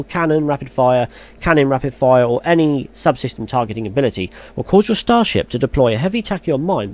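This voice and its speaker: A man's voice: mid-range voice, a little mellow. Chatty man reading game news. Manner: muses aloud, hesitant and chatty when commenting. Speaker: gaming YouTuber. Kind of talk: reading game news aloud, then casual commentary. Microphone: voice-over on a screen recording.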